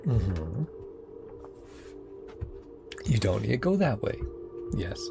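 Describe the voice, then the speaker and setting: Low-pitched man, slow and lively, reacting. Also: vocal fry. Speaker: streamer reacting to a game story. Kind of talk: casual gameplay reaction commentary. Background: game music.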